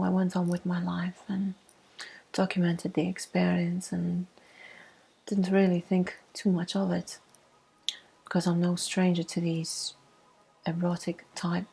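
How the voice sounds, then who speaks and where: A woman telling a personal story in a soft-spoken ASMR style, hushed and unhurried, with a breathy, husky voice that often drops into a whisper. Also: fairly low pitch, low-quality recording.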